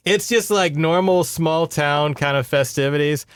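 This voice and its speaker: A man's voice: on the high side, a little slow, clean tone, no echo, studio quality. A man with an American accent chatting casually and wryly as he recaps a book as a podcast co-host. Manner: wry, informal, sarcastic.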